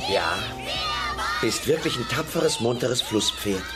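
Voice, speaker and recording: A man voicing a cheeky, excitable dinosaur buddy in a children's cartoon, chatty and eager. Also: medium pitch, slow pace, over a noisy crowd. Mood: urging, wry. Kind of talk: animated children's audio play acting. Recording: music behind voice.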